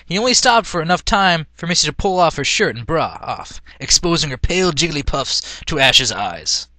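Bright, higher-pitched man reading a story. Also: animated, normal speed, no room sound. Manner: breaks into laughter, amused. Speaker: amateur reader of fan fiction. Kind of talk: mock-dramatic story reading.

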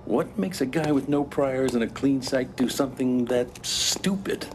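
A man's voice: mid-range voice, measured pace, noisy background, natural voice. An actor playing a stern, protective, middle-aged American prison officer in a video game, blunt and fatherly. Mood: probing.

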